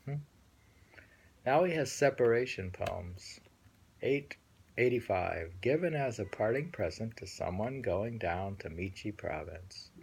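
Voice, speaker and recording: A man reading translated poems aloud and musing on them casually, in a halting manner with repeated phrases and a husky, gravelly voice. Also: on the low side, expressive, darkish tone. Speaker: reader and host.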